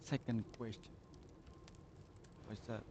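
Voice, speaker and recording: A man live-streaming a game, thinking aloud in halting, casual as he reacts to the dialogue. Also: lowish voice, little pitch movement. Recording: dull mic with faint noise.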